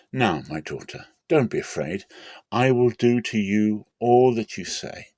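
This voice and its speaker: A man's voice: lowish voice, darkish tone, dry recording. A man playing a solemn, kindly landowner, dry sound. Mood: kindly, firm. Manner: solemn, courteous, declamatory. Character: kindly, protective landowner, mature man of authority. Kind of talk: dramatic scripture storytelling.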